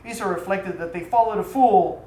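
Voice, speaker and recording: A woman reading a literary story aloud as a storyteller, with dramatic, deliberate phrasing. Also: medium pitch, mellow. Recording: echoey room, faint background noise.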